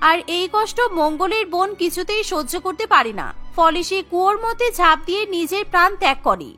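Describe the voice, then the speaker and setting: A woman narrating a cartoon story in an even, dramatic storytelling manner. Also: very high-pitched, a little fast, faint music behind.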